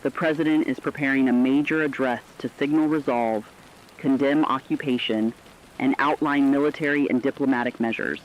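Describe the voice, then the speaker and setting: A man playing a sombre radio war correspondent, delivering a scripted news bulletin in a solemn, dramatic, deliberate way. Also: medium pitch, fairly even intonation, lightly acted. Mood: grave, urgent. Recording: dull lo-fi radio sound, faint noise.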